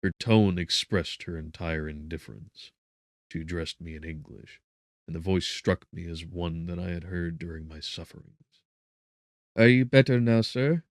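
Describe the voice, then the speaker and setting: Male audiobook narrator, deep register, dramatic scripted reading of gothic literature, dry intimate sound. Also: flat delivery, normal speed, no room sound.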